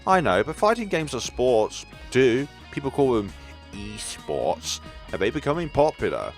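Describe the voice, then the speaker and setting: A man's voice: mid-range voice, sing-song, unhurried, soft background music. A man voicing a polite, teasing young male gondolier and tour guide in a visual novel.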